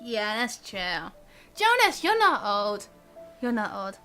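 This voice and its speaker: A woman's voice: mid-range voice, sing-song, slow, sharp tone. A young woman chatting casually on a live game stream in a rambling, giggly way. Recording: game music faintly behind.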